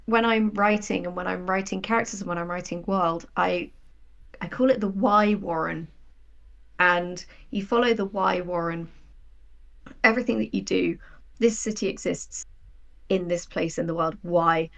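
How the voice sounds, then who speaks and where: A woman's voice: lowish voice, creaky, fairly bright tone. A woman in her thirties chatting casually as an interview guest, explaining her creative work with rhetorical questions. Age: 30s. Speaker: guest writer of audio drama and fiction.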